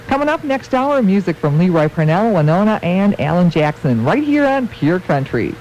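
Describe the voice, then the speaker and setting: A woman's voice: fairly low pitch, very animated, moderate pace, a little mellow. An American woman presenting a weather forecast on the radio. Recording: dull, noisy radio aircheck.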